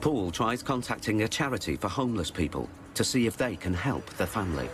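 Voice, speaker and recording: A male documentary narrator with a very low, expressive voice reads a script in a measured, informative way. Loud music runs under his words.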